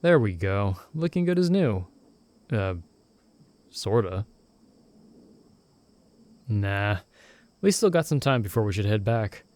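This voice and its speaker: A young man voicing a loyal friend stranded in another world, who talks in a soft-spoken, gently teasing and reassuring way in an ASMR roleplay recorded close to the mic. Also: lowish voice, very animated, takes it slowly, long pauses, dry recording. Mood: gentle, reassuring.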